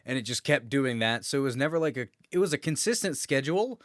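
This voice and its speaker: A man's voice: medium pitch, animated, fast talker, no echo, clean studio sound. A man talking casually as a podcast co-host, informal and mildly profane as he swaps stories. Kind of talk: casual chat, swapping work stories.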